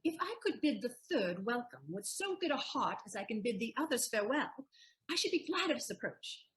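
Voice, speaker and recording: A woman's voice: a little high, sing-song, a little fast. A woman playing a wry young noblewoman, weary of suitors, in formal Shakespearean audio drama.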